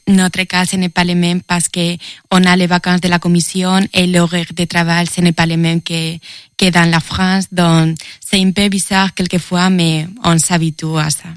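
A woman with a Spanish accent answers interview questions in French, her second language, pausing and repeating words as she reaches for the right phrase. Her voice sits fairly low and flat. The sound is close and dry. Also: dry recording. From Spain. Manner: halting, repeats words while searching for phrasing. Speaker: intern at an EU agency.

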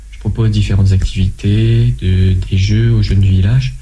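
A man in his twenties from France, answering interview questions in a measured way, often pausing and restarting. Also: lowish voice, level tone, mellow, a little hiss or hum. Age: 20s. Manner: measured, with frequent hesitations and restarts. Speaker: interview guest, volunteer.

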